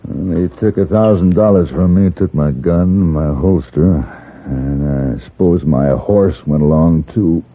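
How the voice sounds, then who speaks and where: An actor playing a cool, wry gunfighter for hire in a 1950s radio Western, speaking in a measured, dry, understated way. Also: male voice, sits low. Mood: measured, resolved. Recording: muffled, thin 1950s radio broadcast.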